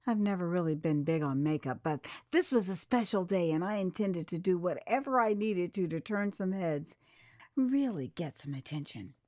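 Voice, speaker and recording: An American woman narrating her own memoir as an audio drama, with theatrical, expressive delivery that savours the words. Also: on the low side, moderate pace. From United States.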